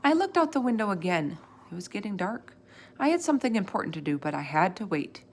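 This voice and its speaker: A woman reading a children's storybook aloud in an expressive, animated way, acting out the dialogue. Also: medium pitch, brisk. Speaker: storybook reader.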